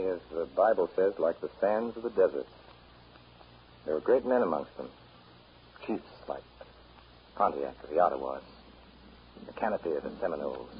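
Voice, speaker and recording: A man's voice: deep voice, a little hiss or hum. An actor playing a plain-spoken, fatherly American man telling stories to a boy in a folksy way in a 1930s radio drama. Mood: reflective. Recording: muffled 1930s radio recording with music.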